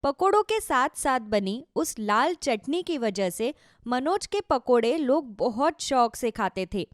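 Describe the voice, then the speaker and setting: A woman narrator tells a scripted moral story in a high voice. The sound is dry and close, with the measured delivery of a storyteller. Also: sing-song, no echo.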